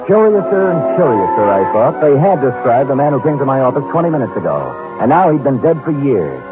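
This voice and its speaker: Man as deadpan hardboiled private eye, lively pitch swings, old muffled radio w/ music behind. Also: fairly quick, lightly acted. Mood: musing. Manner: deadpan, sardonic. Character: wry, unflappable hard-boiled male private detective. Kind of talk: old-time radio drama acting and narration.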